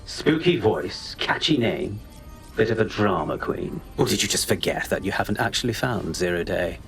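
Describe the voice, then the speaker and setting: Smug, sardonic informant. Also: male voice, fairly low pitch, lively intonation, vocal fry, faint music behind. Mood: smug, needling. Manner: mocking, measured. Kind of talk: game cutscene acting.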